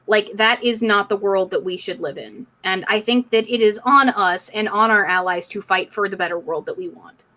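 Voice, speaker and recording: A woman's voice: mid-range voice, moderate pace, few pauses, sharp tone. A woman hosting a live community discussion stream, speaking in an emphatic, outspoken, casual way. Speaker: livestream host.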